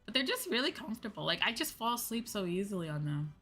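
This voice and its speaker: A woman's voice: mid-range voice, very animated, fast talker, sharp tone, breathy edge. An American woman chatting casually on a livestream in an informal, slangy, rambling way. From United States. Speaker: VTuber streamer, host. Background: background music.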